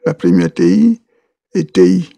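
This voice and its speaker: Slow, gravelly man from Côte d'Ivoire. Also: low voice, wildly swooping pitch, warm tone, no room sound. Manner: halting, repeats words and restarts often. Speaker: podcast guest. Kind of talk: interview answers.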